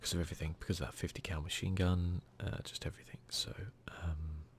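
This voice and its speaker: A man giving soft, near-whispered ASMR commentary as he hosts a gaming video, in a casual, muttering, self-deprecating way. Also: low voice, flat delivery, fast.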